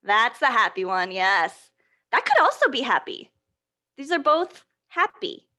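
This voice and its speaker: A woman leads a picture-book storytime for small children in a fairly high, very bright voice. She is animated and encouraging. She keeps posing simple questions to her young listeners and answers many of them herself. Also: a little slow.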